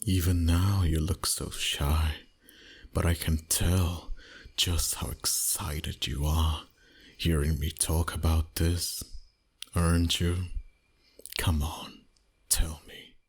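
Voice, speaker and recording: A man voicing a dominant, flirty vampire boss in an intimate ASMR roleplay, teasing slowly in a husky voice that often drops to a whisper. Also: rumbling low, level tone, exaggerated voice. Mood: teasing, seductive. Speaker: voice actor. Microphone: close microphone.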